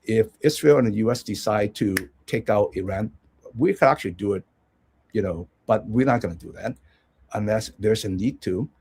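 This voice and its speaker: A man's voice: lowish voice, moderate pace, darkish tone, breathy edge. A man from Hong Kong, a guest analyst giving rambling conversational commentary with many restarts and check-ins.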